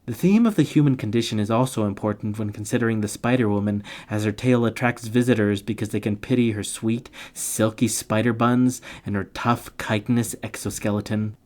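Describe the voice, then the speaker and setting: A man with a fairly low voice delivers a scripted, explanatory narration. He sounds playful and tongue-in-cheek, dropping slangy comic asides in among the more formal lines. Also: brisk, hardly any pauses, a little mellow. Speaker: narrator of literature summary videos.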